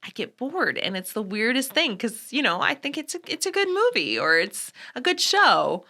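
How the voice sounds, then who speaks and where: Brief: chatty woman co-host, youthful voice held low, bright tone, lots of laughter. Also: sing-song, moderate pace. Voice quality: youthful voice she tries to pitch down. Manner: chatty, laughs often, rambles with restarts. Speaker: podcast co-host. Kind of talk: casual chat.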